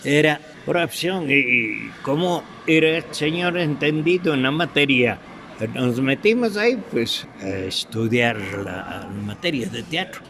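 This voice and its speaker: Older male interviewee, Ecuadorian accent, retired radio actor. Gravelly, halting delivery, unhurried, over clear ambient noise. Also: medium pitch, animated, a bit bright. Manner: halting, searching for words. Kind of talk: reminiscing in an interview.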